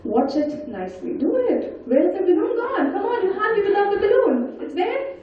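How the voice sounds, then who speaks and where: A woman giving an interactive storytelling talk, animated and coaxing as she puts questions and instructions to her listeners. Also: very high voice, moderate pace, background noise. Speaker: storyteller and speaker leading an audience activity. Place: large indoor event hall. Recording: very echoey hall, distant mic, dull sound.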